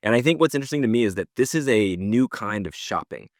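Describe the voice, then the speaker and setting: Deep-voiced man, casual podcast explainer. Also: lively intonation, quick pace, dry recording, studio quality. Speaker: podcast co-host presenting news. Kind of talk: casual news discussion.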